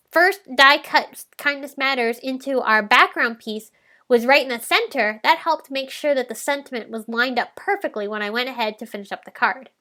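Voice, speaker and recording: A woman narrates a crafting tutorial in a calm, explanatory way, her voice stuffed up and nasal from a head cold. She speaks high and bright, with a clear ring to her tone. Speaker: card-making tutorial host. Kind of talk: step-by-step crafting voice-over.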